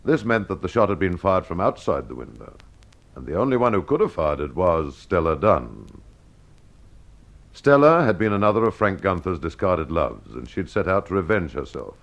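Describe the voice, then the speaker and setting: A man narrating a scripted old-time radio mystery as its host, with dramatic, measured storytelling. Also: low voice, very animated, creaky. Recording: dull lo-fi old radio sound.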